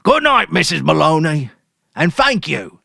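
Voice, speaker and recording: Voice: medium pitch, big pitch swings, measured pace, creaky, sharp tone, husky, no room sound, clean studio sound, pitched above the performer's own voice, full character voice. A narrator voicing a friendly male grocer with a folksy, pleasant manner.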